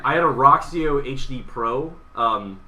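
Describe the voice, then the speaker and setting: A man's voice: medium pitch, lively intonation, a little slow, lo-fi sound. A man co-hosting a gaming podcast in casual, sometimes profane chat. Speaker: podcast co-host. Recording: distant mic, bright, faint background noise.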